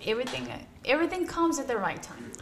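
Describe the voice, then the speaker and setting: A woman's voice: high voice, big pitch swings, fast talker. A young woman with a Cuban accent gives casual, emphatic interview answers, peppering her speech with "like". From Cuba. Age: young adult. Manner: chatty, emphatic, frequent use of "like". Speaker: interview guest, aspiring actress and model.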